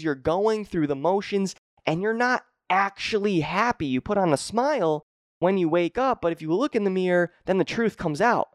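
A man's voice: sits high, clear, resonant tone, no room sound, clean studio sound. A young man hosting his own podcast as a fitness coach, talking energetically and emphatically and repeating key phrases. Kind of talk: motivational solo podcast talk.

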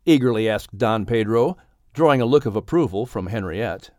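Man, audiobook narrator, children's story, expressive delivery, animated melody, medium pitch, very dry recording. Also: normal speed, no echo.

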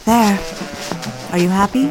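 A woman's voice: medium pitch, a little slow, natural voice, poor audio. A woman playing an anxious, submissive lover in an audio drama, with a pleading, yielding manner. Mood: submissive, hurt. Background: music.

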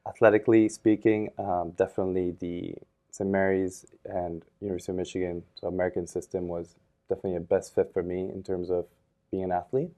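A man in his thirties, a podcast guest, talking in a thoughtful, relaxed way with many fillers and restarts. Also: low voice, fast talker. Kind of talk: casual interview.

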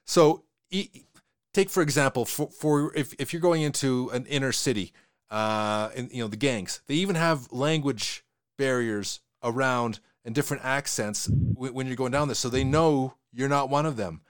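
A man with a Western Canadian accent, talking fast in casual conversation and often repeating his words. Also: mid-range voice, clean tone, no echo, studio quality.

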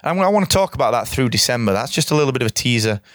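Guy with a croaky voice, punchy straight talk, bone dry sound. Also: medium pitch, rapid-fire, no room sound. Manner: straight-talking, energetic, repeats for emphasis. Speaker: podcast host. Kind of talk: solo podcast monologue.

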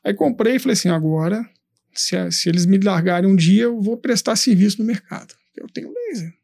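Man, mellow dark tone, dry close sound, animated storyteller, swears freely. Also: sits high, a little fast, gravelly, dry recording. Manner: animated, swears, acts out his own past thoughts. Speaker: entrepreneur guest. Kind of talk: casual interview storytelling.

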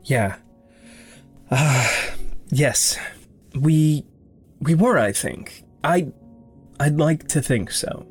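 An actor playing a gentle, guilt-ridden young man, halting and introspective, in a naturalistic audio drama. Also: on the high side, expressive, breathy edge, soft background music, full character voice. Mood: conflicted, guilty. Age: young adult.

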